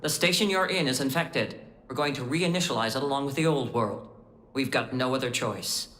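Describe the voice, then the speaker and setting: A man's voice: mid-range voice, normal speed, a bit bright, close to an everyday voice. An actor playing a serious, commanding male overseer giving clipped orders in a video game. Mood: stern, urgent. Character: stern, urgent male overseer issuing orders. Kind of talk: dramatic video game cutscene acting. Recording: echoey room, faint music behind. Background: faint music.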